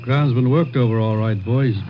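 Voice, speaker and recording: An actor playing a brisk, observant American police crime-lab lieutenant, matter-of-fact, in a 1950s radio drama. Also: male voice, mid-range voice, steady tone, lightly acted. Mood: brisk, focused. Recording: muffled, noisy old radio recording.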